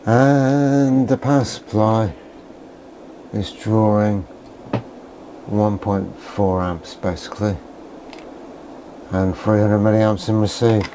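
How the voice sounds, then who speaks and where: Mellow, low-voiced man, musing. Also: a little hiss or hum. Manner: musing, understated, asks himself questions. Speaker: electronics hobbyist presenter. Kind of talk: casual hands-on demonstration, thinking aloud.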